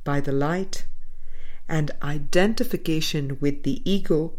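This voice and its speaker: A woman reading a spiritual book aloud as a scripted narration, in measured, deliberate phrases with pauses. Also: deep voice, darkish tone. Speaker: audiobook reader.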